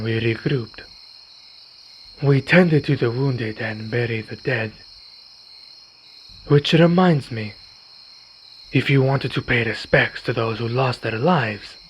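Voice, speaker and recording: A man playing a weary, courteous vampire in an ASMR roleplay, speaking in formal, measured phrases. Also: mid-range voice, natural voice. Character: weary, courteous male vampire, wounded but calm. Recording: dull recording with night insect noise. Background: crickets and night insects.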